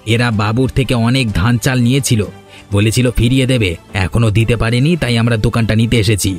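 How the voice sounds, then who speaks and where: A man's voice: mid-range voice, fairly even intonation, brisk, soft background music, natural voice. A man playing a sly, obsequious henchman of a village landlord in a cartoon, deferential and needling. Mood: bullying. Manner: deferential to his boss, needling. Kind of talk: Bengali cartoon voice acting.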